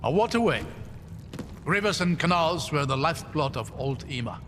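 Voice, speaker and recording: A man playing a gentle, bookish scholar in a video game, articulate, curious and earnest. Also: fairly high pitch, lively intonation, normal speed, a little hiss or hum. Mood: curious, enthusiastic. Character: gentle, bookish male scholar, eager and grieving father. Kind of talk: video game cutscene acting. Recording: long cavernous reverb, music behind.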